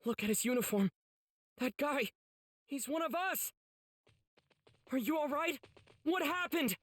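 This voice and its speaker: An actor voicing an earnest, kind-hearted teenage boy swordsman in an anime game, youthful and urgent, with a slightly husky voice. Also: high voice, expressive, a little slow, a bit bright. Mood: earnest concern. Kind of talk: anime game cutscene acting.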